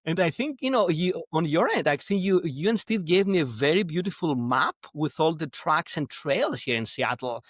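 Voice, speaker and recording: A man's voice: fairly high pitch, expressive, unhurried, no echo, studio quality. A man with a Greek accent hosting a conversational medical podcast interview in an effusive, complimentary manner. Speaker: podcast host, oncology professor.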